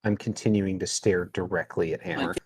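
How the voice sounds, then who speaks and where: A man's voice: low-pitched, steady tone, a little fast, a little mellow, breathy edge. A man playing a tabletop roleplaying game, moving between in-character lines and casual table talk.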